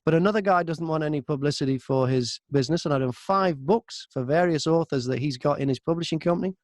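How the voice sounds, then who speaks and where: A man chatting casually in an interview as a narrator, telling stories in an animated, talkative way from inside a wardrobe booth. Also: on the high side, fast, dry recording, clean studio sound. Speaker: audiobook narrator and voice-over artist, interview guest.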